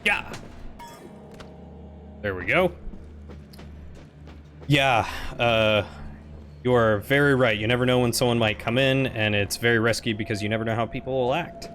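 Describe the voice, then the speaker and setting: A man streaming a game live, talking casually to his chat. He projects his voice so that it carries, and he keeps it lighter and friendlier than his natural baritone, landing at a middle pitch. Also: normal speed, pauses often, slight background noise. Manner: loud, projecting voice.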